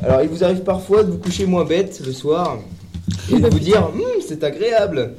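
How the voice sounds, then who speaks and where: A man with a fairly high voice introduces light trivia in a joking, halting manner, as if chatting with friends. Clear noise sits behind him. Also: lively intonation. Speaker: radio show columnist. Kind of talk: casual humorous trivia segment.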